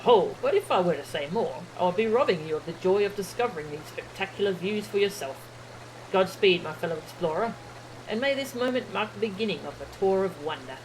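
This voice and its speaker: A woman voicing an earnest, eloquent explorer from a fantasy game, with formal, flowery, measured delivery. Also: mid-range voice, animated, a little hiss or hum, natural voice, low-quality recording. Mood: inviting, enthusiastic. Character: earnest, eloquent explorer welcoming a fellow adventurer. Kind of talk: fantasy game dialogue read aloud. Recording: game music behind the voice.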